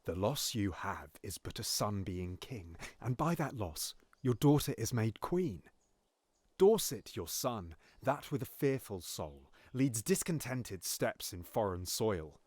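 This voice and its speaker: Brief: a man as a scheming usurper king, slow and deliberate, measured stage delivery, dry close sound. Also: lowish voice, sing-song, no room sound, full character voice. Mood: persuasive. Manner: pleading then bitterly contemptuous, with sudden hushed whispers. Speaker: actor in audio drama. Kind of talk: Shakespearean audio drama acting.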